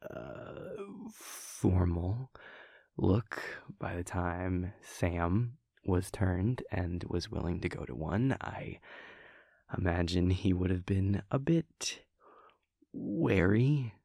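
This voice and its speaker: A man playing an affectionate, playful vampire prince boyfriend in an intimate roleplay audio, speaking in a relaxed, conversational drawl with a low, creaky voice. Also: no room sound, clean studio sound, heavily acted. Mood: relaxed, fond. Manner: halting, chuckling, relaxed drawl.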